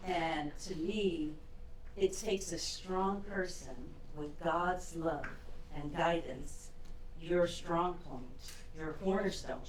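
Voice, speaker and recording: Low-voiced woman in the audience, earnest, halting delivery, distant in an echoey hall with faint noise. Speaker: audience member asking a question. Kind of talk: audience question at a public event. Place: event hall.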